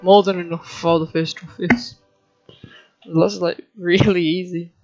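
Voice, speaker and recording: Low-voiced woman gamer over loud music. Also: level tone, a little slow, highs cut off. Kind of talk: casual gameplay commentary. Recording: loud game audio and music behind. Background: video game music and sounds.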